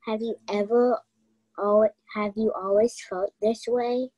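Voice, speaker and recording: A woman's voice: a little high, steady tone, a little slow, mellow, no room sound, rough recording. A young woman playing an insistent murder investigator in an amateur radio play. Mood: questioning. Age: young adult.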